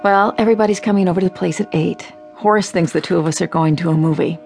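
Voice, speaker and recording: A woman's voice: fairly low pitch, fast, vocal fry, darkish tone, faint music behind. An actress playing a practical, chatty, weary wife in a radio drama, a little dramatic in her delivery.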